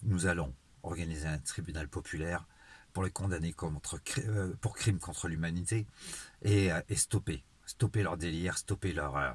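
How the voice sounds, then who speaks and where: Low-voiced, halting man. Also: breathy edge. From Marseille, southern France. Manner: halting, hesitant, frequent searching pauses. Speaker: vlogger. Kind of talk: earnest improvised monologue to camera. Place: outdoors in a town street at night, later inside a car. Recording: noisy phone selfie audio, ambient noise.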